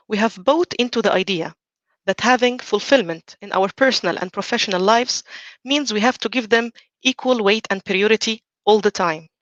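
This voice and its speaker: A woman in her forties from Egypt, giving a measured, earnest prepared talk as a team coach. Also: medium pitch, fairly bright tone, dry recording, dull audio. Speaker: team coach, conference speaker.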